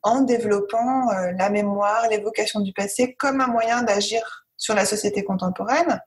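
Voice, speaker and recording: A female researcher answers in a medium-pitched voice. Her delivery is that of an academic explaining an idea off the cuff in an interview. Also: moderate pace. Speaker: sociologist, researcher, interview guest.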